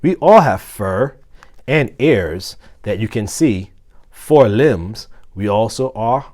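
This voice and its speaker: Man playing slow, emphatic friendly janitor. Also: lowish voice, animated, a little mellow. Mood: explaining, friendly. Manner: emphatic, explanatory, kindly. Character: friendly mustached janitor, a man studying to teach. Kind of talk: storybook character dialogue.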